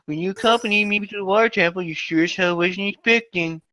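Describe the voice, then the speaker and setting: A man's voice: high voice, quick pace, sharp tone. A man reading fan fiction aloud as a co-host, amateur in style, putting on voices for the dialogue, over a muffled lo-fi microphone.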